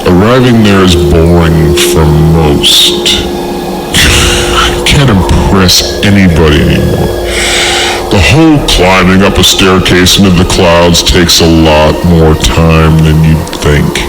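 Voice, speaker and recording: A man with a low, deep voice hosts a podcast over loud music. He reads horror fiction theatrically, stretching out words, and drifts into rambling, sarcastic, sweary asides. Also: poor audio. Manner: sarcastic, rambling, profane, theatrically drawn-out reading. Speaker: podcast host. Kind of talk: reading a horror story with casual comic asides.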